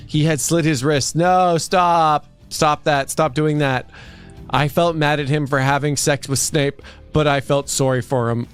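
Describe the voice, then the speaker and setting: A man's voice: fairly high pitch, steady tone, unhurried, clear, resonant tone. A man co-hosting a livestream, reading a story aloud for comedy and breaking off into joking banter. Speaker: livestream co-host reading fan fiction aloud. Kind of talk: comic dramatic reading mixed with casual banter. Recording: music behind the voice.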